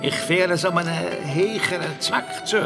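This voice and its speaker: An odd, sly pilgrim in a children's radio drama. His fairly high voice delivers lofty sayings in a sententious way, with music behind. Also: normal speed. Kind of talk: German-language children's radio play acting.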